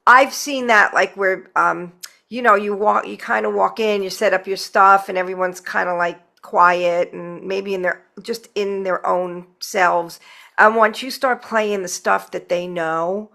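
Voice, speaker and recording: Woman podcast host, bright forward voice, informal and chatty, remote call. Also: mid-range voice, fairly even intonation, measured pace, vocal fry. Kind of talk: casual chat.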